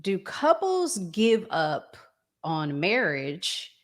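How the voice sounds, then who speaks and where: Chatty Southern woman, low voice. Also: very animated. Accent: Southern American. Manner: chatty, pauses and restarts a lot. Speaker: show host. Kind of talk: casual podcast chat.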